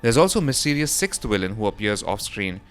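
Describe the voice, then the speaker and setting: A man's voice: on the low side, sing-song, brisk, smooth. A man reading a scripted voice-over for a gaming news roundup, in the role of presenter. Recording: music behind the voice. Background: background music.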